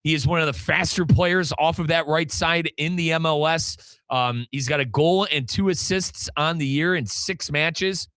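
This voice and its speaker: A bright, punchy American man hosts a soccer talk show. His voice sits a little high and sounds very dry. He speaks emphatically and colloquially, leaning on repetition. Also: normal speed, few pauses, smooth, dry recording. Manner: emphatic, repeats phrases for effect, colloquial. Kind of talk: sports talk commentary and interview.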